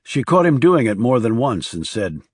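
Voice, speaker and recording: A man's voice: medium pitch, big pitch swings, moderate pace, a little mellow, breathy edge, dry recording, studio quality. A man reading scripted thriller narration as an audiobook narrator.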